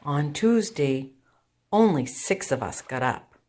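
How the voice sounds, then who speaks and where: Slow, low-voiced woman reading a storybook. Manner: deliberate, expressive phrasing for young children. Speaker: children's storytime reader and teacher. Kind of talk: read-aloud of a children's picture book.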